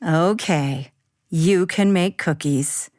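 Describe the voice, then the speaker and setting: A woman voicing a calm, caring mother in a children's story, gentle and thoughtful in manner. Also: fairly low pitch, dry recording, studio quality, voice pushed down. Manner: measured, thoughtful, gentle questioning.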